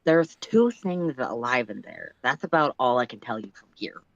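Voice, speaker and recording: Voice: mid-range voice, very animated, moderate pace, gravelly, no room sound, muffled recording. A storyteller voicing a blunt, bossy male alien spaceship crewmate, curt and sardonic in manner. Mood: dry, dismissive. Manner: curt, sardonic, matter-of-fact.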